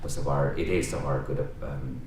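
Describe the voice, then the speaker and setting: A middle-aged man from China, a native Chinese speaker with a Chinese accent, introducing a guest at a university talk in halting English full of restarts and fillers. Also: rumbling low, steady tone, darkish tone. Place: lecture room. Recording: roomy echo, distant mic, faint background noise.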